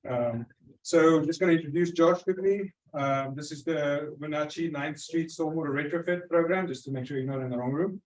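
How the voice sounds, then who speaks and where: A man with a higher voice moderates a conference session, stumbling through an introduction with frequent uhs. He stands away from the mic, and the room echoes around him. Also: darkish tone, recorded from a distance, slight background noise. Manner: halting, many uh fillers. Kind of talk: introducing a speaker.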